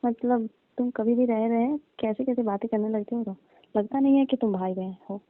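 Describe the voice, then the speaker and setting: Over a thin, phone-like line comes a woman acting as a coy young female cousin. Her voice is on the low side, and she chats in a colloquial, teasing and bashful way, as in a staged phone call. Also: steady tone, normal speed, no echo, lightly acted. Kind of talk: staged casual phone chat.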